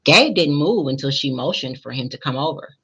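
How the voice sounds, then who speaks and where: A woman reading a picture book aloud expressively, pausing in a teacherly way to put questions to young listeners. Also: rumbling low, animated, a bit bright, slightly rough. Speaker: school principal reading aloud to children.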